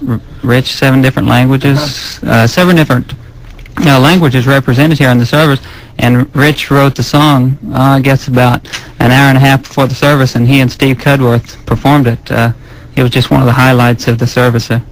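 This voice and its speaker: Measured man telling a story. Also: mid-range voice, fairly even intonation, poor audio. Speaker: interview guest, missionary friend. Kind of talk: recounting a story.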